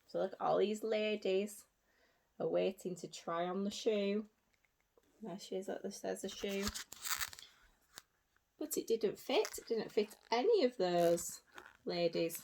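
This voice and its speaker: A woman reading a story aloud for young children as a teacher, in an animated way with simple repeated phrases and asides to her listeners. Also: medium pitch, gravelly, slight background noise. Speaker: early years teacher.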